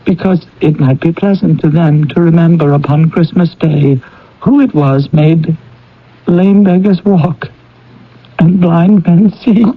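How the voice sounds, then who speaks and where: A gentle, humble family father in an old radio play. His voice is husky and a little high, with a tender, earnest way of speaking. The broadcast sounds muffled. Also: a little slow. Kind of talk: old-time radio drama acting.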